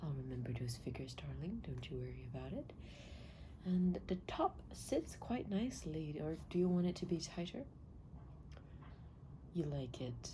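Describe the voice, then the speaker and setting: A woman speaking softly and breathily in an ASMR stylist roleplay, often slipping into a whisper, with a gentle, polite, attentive manner. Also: deep voice, lively intonation, moderate pace, background noise. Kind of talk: soft-spoken ASMR roleplay.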